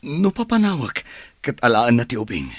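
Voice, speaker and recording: A man's voice: medium pitch, very animated, normal speed, no room sound, studio quality. A man playing a brooding, stern young master of a mansion in an earnest radio drama style. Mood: hurt, troubled.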